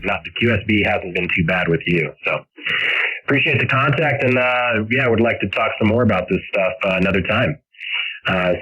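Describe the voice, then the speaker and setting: A man chatting casually over a ham radio link, halting and self-deprecating as he talks. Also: low voice. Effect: radio transmission. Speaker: amateur radio operator. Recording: dull, husky-sounding ham radio signal.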